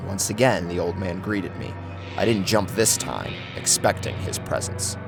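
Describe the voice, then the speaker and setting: A man's voice: sits low, moderate pace, gravelly. A man giving a dramatic, theatrical first-person narration of a scripted horror story. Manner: theatrical, acts out the tension of the story. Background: music under the narration.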